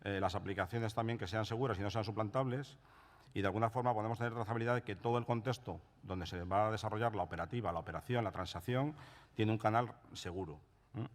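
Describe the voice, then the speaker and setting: A man speaking as a panelist at a conference, measured and explanatory, often closing his points with a tag question. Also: fairly low pitch. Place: conference room. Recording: reverberant conference hall.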